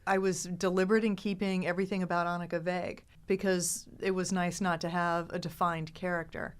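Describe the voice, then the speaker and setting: A woman with a smooth, clear voice on the lower side talks casually about a show. Her phrasing is deliberate and emphatic. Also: level tone. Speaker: cast member discussing a show. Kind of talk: casual discussion.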